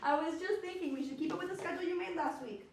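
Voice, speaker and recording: Woman as an eager, quick-talking girl sidekick, very echoey. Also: very high voice. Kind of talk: amateur stage one-act play acting. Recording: very echoey stage, distant microphone.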